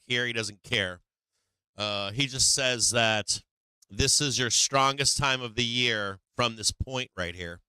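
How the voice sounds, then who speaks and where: A man hosting a stock market livestream, walking through charts in casual live commentary, halting and restarting as he searches for the right words. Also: medium pitch, bright, forceful tone, clean tone, dry recording, low-quality recording.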